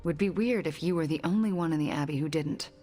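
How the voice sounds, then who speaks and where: Actress doing nervy guarded game heroine, really low voice, hesitant, trails off quiet, soft music. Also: female voice, quick pace, close to an everyday voice. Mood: uneasy. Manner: hesitant, hushed phrase endings. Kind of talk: video game cutscene acting.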